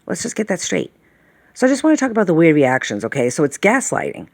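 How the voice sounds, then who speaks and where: A woman's voice: lowish voice, very animated, fast talker, creaky, a little mellow, dry recording, clean studio sound. A woman in her late fifties rambling through a casual monologue, sighing often and checking in with "okay?" and "all right?". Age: late 50s. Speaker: vlogger.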